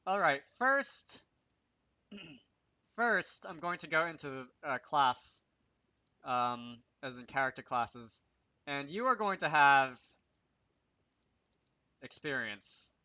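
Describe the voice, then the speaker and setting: A man giving an unscripted programming tutorial, thinking aloud in a hesitant, halting way as he works. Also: fairly high pitch, sing-song, bright, forceful tone, dry recording. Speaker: game developer presenting a tutorial series.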